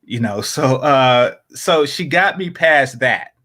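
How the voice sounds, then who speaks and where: A man talking in a casual podcast chat, rambling with many fillers and restarts, often dropping into low, quiet asides. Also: expressive, slow.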